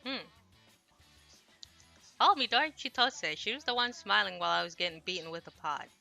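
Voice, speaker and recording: A woman's voice: medium pitch, animated, normal speed, creaky, pushed and bright, faint music behind. A woman streamer chatting casually on a livestream in a rambling, self-deprecating, apologetic way, speaking into a headset. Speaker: streamer translating a Japanese visual novel.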